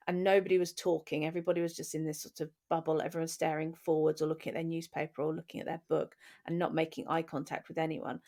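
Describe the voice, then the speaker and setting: A woman's voice: deep voice, darkish tone. A woman in her forties with an English accent, co-hosting a podcast and candidly telling her own story in a reflective way. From England. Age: 40s. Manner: reflective, candid, restarting phrases. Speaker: podcast co-host. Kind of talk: personal storytelling in conversation.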